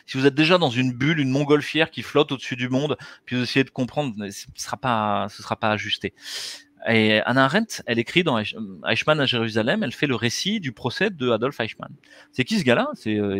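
A man's voice: mid-range voice, fairly quick, fairly bright tone, no echo. Earnest man on a headset video call. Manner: earnest, gestures with his hands while explaining. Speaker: interview guest, anesthesiologist and author. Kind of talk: explanatory conversational interview talk. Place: small indoor room. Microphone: headset mic on a video call.

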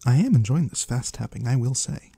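A man's voice: mid-range voice, animated, fairly quick, gravelly, dark tone, husky, no echo. A man playing a curious otherworldly trader in an ASMR roleplay, musing in quaintly formal words and savoring single ones close to the microphone. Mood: musing, playful. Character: curious otherworldly male trader of the shadowy void. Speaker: ASMR performer. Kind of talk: ASMR roleplay, soft slow close speech to the listener. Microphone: close microphone, dry.

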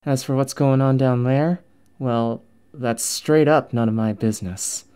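A woman's voice: very deep voice, measured pace, mellow, clear, resonant tone, no echo. A woman playing a naive, awkward young tinkerer in a comic dub, casual and hesitant. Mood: matter-of-fact. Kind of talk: comic dub narration and dialogue.

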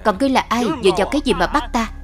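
A woman reading a scripted voice-over dub for an animated fantasy, taking all the characters' lines herself. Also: on the high side, rapid-fire, a crowd in the background. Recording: loud music under the voice. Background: music.

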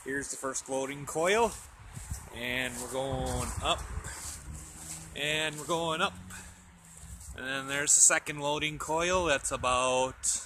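A man's voice: mid-range voice, slow pace, long pauses. A man giving a casual, off-the-cuff walkaround narration for a home video, thinking aloud hesitantly as he films outdoors on a handheld camera. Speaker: amateur video host showing his radio antennas. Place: outdoors in a snowy residential yard. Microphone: handheld camera or phone held by the speaker. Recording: noisy outdoor recording with traffic.

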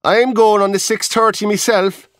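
A man voicing a chatty young dancer, high and plaintive, fussing over his sore feet. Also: steady tone, normal speed, a bit bright, no room sound, clean studio sound.

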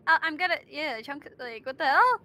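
A young woman chatting with friends while gaming, excitable and quick to laugh. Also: high voice, a loud crowd. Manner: giggly, excitable. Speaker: fellow player. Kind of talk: casual gaming chat.

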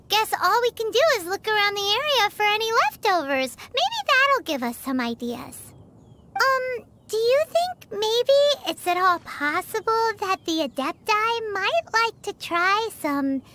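A woman voicing a tiny childlike fairy companion in a video game, squeaky, chirpy and curious, with exaggerated expressive reactions. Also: a little hiss or hum, exaggerated voice. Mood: hopeful. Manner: refers to self in third person, exaggerated expressive reactions. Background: background music.

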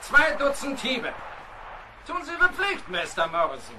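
A harsh captain of a sailing ship, heard off-mic over clear background noise. His very high voice swings widely as he issues scornful, cutting commands. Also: huge pitch swings, a little slow, full character voice. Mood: stern command. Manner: commanding, cutting, barking orders. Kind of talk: audio drama acting. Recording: noisy, distant-sounding radio play scene.

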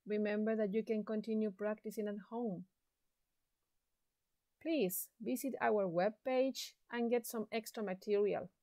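A woman teaching an English lesson to secondary students, speaking slowly and deliberately with an encouraging classroom manner. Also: mid-range voice, fairly even intonation, warm tone, dry recording. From Chile. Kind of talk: slow recorded classroom lesson.

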